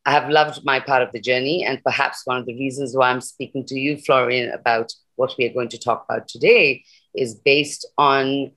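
Low-voiced woman on a video call. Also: fairly even intonation, moderate pace, a bit bright, poor audio. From Nepal, raised in India. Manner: earnest, passionate, reflective. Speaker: guest, sustainability activist. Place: room at home. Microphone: laptop webcam on a video call.

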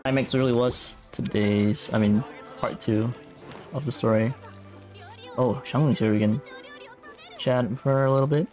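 A man's voice: medium pitch, steady tone, slow, long pauses, a little mellow. A man giving casual, laid-back live playthrough commentary as a game streamer, thinking aloud. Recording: faint game music behind. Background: video game music.

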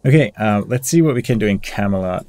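A man's voice: on the low side, expressive, quick pace, warm tone. A man giving casual gameplay commentary, thinking aloud and muttering through options as he reads game text. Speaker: gamer hosting a playthrough. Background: game music.

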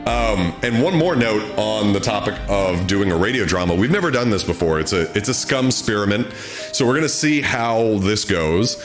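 A man's voice: on the low side, animated, normal speed. A man in his thirties chatting casually as a livestream host, close on the mic. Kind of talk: casual chat. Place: small home studio room. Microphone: close desk microphone, headphones on. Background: music.

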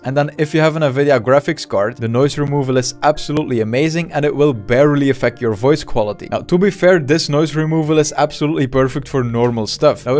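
A young man hosting a software tutorial, talking into a close boom microphone in a small home streaming room, chatty and upbeat, with casual fillers. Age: young adult. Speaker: tech tutorial host. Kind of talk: screen-recorded software tutorial. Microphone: studio mic on boom arm, close.

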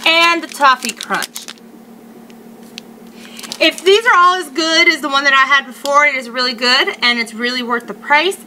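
A woman's voice: high voice, normal speed, pushed and bright, low-quality recording. A woman in her thirties giving a chatty, emphatic food review to camera in a casual unboxing style, recorded on a webcam in a living room. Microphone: webcam or laptop mic at arm's length. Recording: faint noise, home webcam sound.

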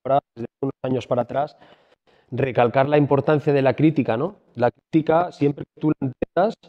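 A man in his forties from Asturias, speaking on a conference stage into a handheld mic, halting and repeating words and ending phrases with a questioning tag. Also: medium pitch. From Asturias, Spain. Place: large conference hall. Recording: dull sound with long hall reverb.

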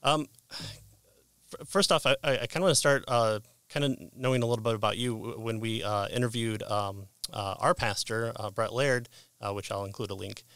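A middle-aged man hosting a casual interview, speaking in a hesitant, halting way into a close microphone. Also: on the low side, steady tone, a bit bright, smooth, dry recording. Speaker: podcast host and interviewer. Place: indoor room lined with bookshelves. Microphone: close boom-arm microphone with headphones.

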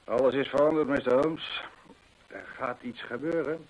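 Man acting a husky, talkative horse trainer. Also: medium pitch, normal speed, highs cut off, close to an everyday voice. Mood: worried, confiding. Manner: gossipy, emphatic storytelling. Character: talkative, anxious English horse trainer Mason. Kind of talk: Dutch radio drama acting.

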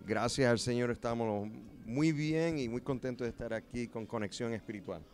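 A man in his forties from New Jersey talks about his music work, earnest and pausing often to find his words. His voice has an ordinary middle pitch. He is recorded on a handheld mic at an indoor expo booth. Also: a little mellow, clean tone. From New Jersey, USA. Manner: earnest, with frequent hesitations. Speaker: musician and producer. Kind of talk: interview answers.

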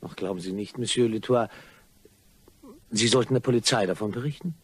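A man acting in a German crime radio play as a sly gentleman blackmailer, husky, probing and mock-courteous. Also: on the low side, brisk, lightly acted. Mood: smoothly insinuating. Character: sly, insinuating gentleman blackmailer, smoothly polite.

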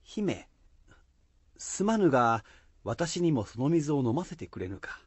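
Guy doing a courteous samurai lord, husky, takes his time, stately old talk, radio play. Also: medium pitch, very animated, unhurried, darkish tone, dry recording. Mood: courteous, lordly. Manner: stately archaic speech. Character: dignified, courteous male lord, exiled samurai leader. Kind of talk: Japanese period radio drama acting.

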